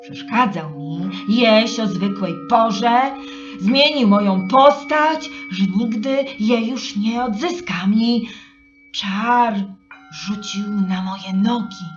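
An old, tired gentleman kangaroo from a storybook, acted out by a woman, pleads and justifies himself in plaintive exclamations. The recording is slightly dull, with clear music alongside. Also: medium pitch, slow pace, natural voice. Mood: weary, aggrieved.

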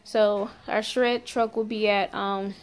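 Slow-talking woman, casual. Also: mid-range voice, level tone, clean tone. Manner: informal, folksy, conversational. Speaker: family vlogger. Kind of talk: casual chat to camera. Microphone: phone camera at arm's length.

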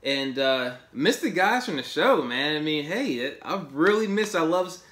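A man's voice: fairly high pitch, unhurried. Upbeat man in an echoey home office. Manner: enthusiastic, animated, gestures while talking. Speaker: sports podcast host. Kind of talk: casual post-game fan review. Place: small home office, indoors.

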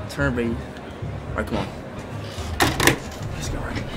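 A young man livestreaming a game, excitable and loud, talking straight to his chat and repeating himself. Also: mid-range voice, measured pace, very noisy. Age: young adult. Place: bedroom streaming setup, indoors.

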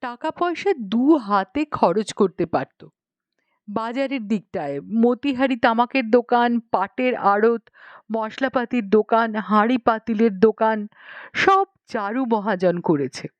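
A woman's voice: a little high, expressive, unhurried, no room sound, dull audio. A woman narrating a story expressively, with a dramatic storytelling manner. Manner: dramatic storytelling with acted dialogue. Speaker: audiobook narrator.

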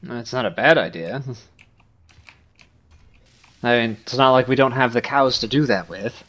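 A man's voice: medium pitch, expressive, a little slow, fairly bright tone. A young man talking casually during gameplay, with an easygoing, dryly teasing manner. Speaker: gaming video host.

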